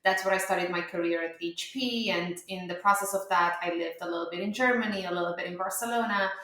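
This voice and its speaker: A woman's voice: on the low side, quick pace, sharp tone. A woman from Romania in her thirties, a startup founder answering interview questions in a chatty way with many fillers and restarts. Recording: very echoey room.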